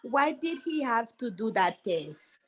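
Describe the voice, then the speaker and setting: Higher-voiced woman with a Haitian accent. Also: big pitch swings, a little slow. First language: Haitian Creole. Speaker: parent asking a question. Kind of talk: casual spoken question.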